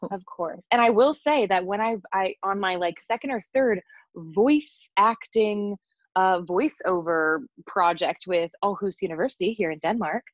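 A woman co-hosts a podcast from her bed in a deep voice. She jokes at her own expense, laughs often and wanders off on tangents. The video call audio is dull and lo-fi, with a dry, close sound. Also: unhurried, no echo. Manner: playful, laughing, self-deprecating, tangent-prone. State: worn out after crying. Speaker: podcast co-host. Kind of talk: casual chat over video call.

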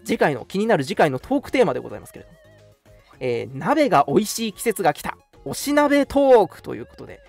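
Male radio host, higher-pitched voice, animated intonation, chatty and polite, casual announcements. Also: quick pace, gravelly, faint music behind.

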